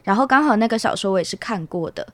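A young woman with a Taiwanese Mandarin accent, answering casually as a student interviewee and pausing to think. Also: medium pitch, animated, a bit bright, dry recording. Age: young adult.

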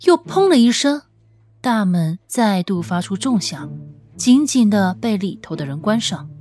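A woman reading scripted fiction as an audiobook narrator, with an expressive, measured, dramatic storytelling delivery. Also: mid-range voice. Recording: music under the voice. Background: background music.

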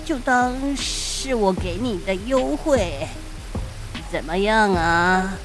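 A sly, greedy old shopkeeper played by a woman from a dark fantasy audio drama. The words come out very slowly, in a teasing drawl with menace underneath. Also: medium pitch, lively intonation, over music. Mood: sly, menacing. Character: sly, greedy elderly shopkeeper of sinister magic apples.

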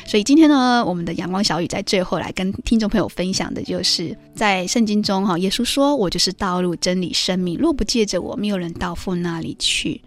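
A woman's voice: medium pitch, brisk, few pauses, soft background music. A woman hosting a devotional radio talk with a co-host, explaining things in an earnest way.

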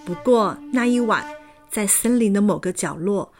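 A woman narrating a children's fairy tale in a lively, expressive way. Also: medium pitch, moderate pace. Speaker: storyteller. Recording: background music.

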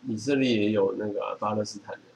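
Quick-talking man, casual chat. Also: lowish voice, fairly even intonation, gravelly. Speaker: podcast co-host. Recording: faint background noise.

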